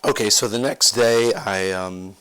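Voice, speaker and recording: A man's voice: fairly low pitch, measured pace, fairly bright tone, smooth. A man with a cold who is losing his voice, casually talking through a guitar refinishing project with lots of fillers and trailing asides. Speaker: guitar DIY vlogger. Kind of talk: casual workshop show-and-tell.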